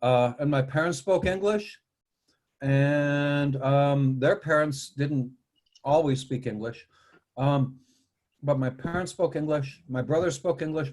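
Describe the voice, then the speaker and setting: A man with a slightly raised, husky voice talks through ideas like a lecturer, wandering into asides as he goes. He is from the United States and speaks as a behavior analyst in a relaxed group chat. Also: fairly high pitch. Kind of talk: casual panel discussion.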